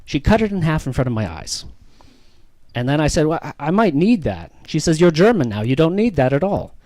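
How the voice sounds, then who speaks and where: A man's voice: on the high side, big pitch swings, fairly quick, darkish tone, dry recording. A man with a Canadian accent doing self-deprecating, ironic stand-up comedy and bantering with the audience.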